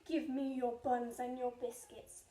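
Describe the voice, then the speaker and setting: A woman playing a rude, greedy male rat highwayman in a storybook, commanding and scornful as they declaim in rhyme. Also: flat delivery, unhurried, mellow, close to an everyday voice. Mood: bellowing, demanding. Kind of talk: picture-book storytelling. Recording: echoey room.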